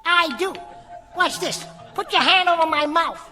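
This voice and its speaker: A man's voice: very high-pitched, measured pace, sharp tone, faint music behind, heavily acted. A man voicing a cocky, quarrelsome little ventriloquist dummy with an American accent, heckling in a radio comedy act. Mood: taunting. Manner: cheeky, combative, slangy heckling.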